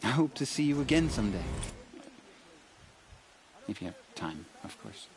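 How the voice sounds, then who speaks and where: A man playing a gentle, admiring tribesman in a video game, earnest and a little hesitant. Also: medium pitch, big pitch swings, normal speed, noisy background. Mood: tender. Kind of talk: naturalistic video game voice acting.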